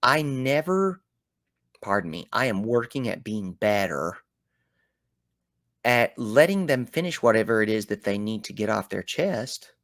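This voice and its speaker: Man, Southern US accent, low-pitched, dry close sound, animated reflective talk, folksy. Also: a little slow, dry recording. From American South. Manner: animated, with sudden loud bursts and folksy phrasing. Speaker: podcast host.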